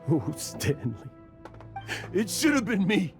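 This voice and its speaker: A man's voice: sits high, huge pitch swings, full character voice. A man voicing a boastful but nervous, guilt-ridden adventurer in a video game, speaking theatrically. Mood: anguished. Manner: halting bluster, theatrical. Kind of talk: animated video game voice acting. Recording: music behind the voice.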